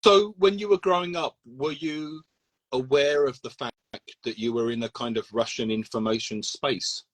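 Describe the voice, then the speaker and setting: A man interviews a guest in a relaxed, curious way, asking follow-up questions. His voice sits at a medium pitch and he often fills in a word when she is stuck. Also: normal speed, no echo. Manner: curious, prompting, supplies words. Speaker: interviewer host.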